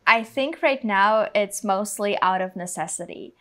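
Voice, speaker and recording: A woman's voice: mid-range voice, unhurried, fairly bright tone. A woman in her twenties, a non-native English speaker, chatting casually as an interview guest and explaining things informally. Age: 20s. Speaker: guest, English teaching video creator.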